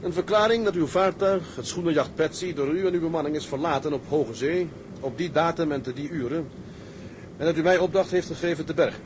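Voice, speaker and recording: Man playing shrewd tug captain, dry measured delivery, slightly high voice, sly humour, clearly noisy ambient rumble. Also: lightly acted. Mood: official, coolly firm. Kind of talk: Dutch dramatised sea story acting.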